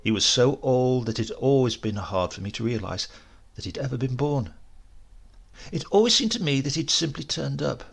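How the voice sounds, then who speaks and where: A man reading a literary short story as an audiobook narrator, in a measured, expressive way. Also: medium pitch, vocal fry, slightly rough, soft background music.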